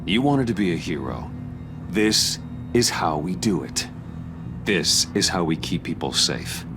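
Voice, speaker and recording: An actor playing a brooding, steadfast male vigilante in a video game, measured and earnest. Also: deep voice, sing-song. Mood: firm earnest. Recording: loud music behind.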